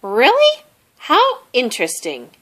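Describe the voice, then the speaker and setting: Woman playing a tiny male mouse, high-pitched, eager, courteous, lilting, children's storybook character. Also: wildly swooping pitch, slow, pushed and bright, exaggerated voice. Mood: curious, playful. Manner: courteous, formal phrasing, eager. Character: small, polite, cheerful, chirpy male mouse houseguest.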